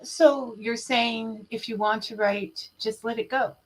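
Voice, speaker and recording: A woman hosting a talk show, asking slow, careful questions with a light, teasing side. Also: a little high, fairly even intonation, low-quality recording. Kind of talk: interviewing a guest.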